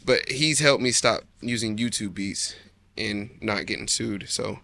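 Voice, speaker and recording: Young man, bright tone, relaxed slangy podcast guest who laughs easily. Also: lowish voice, a little slow, vocal fry. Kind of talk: casual chat.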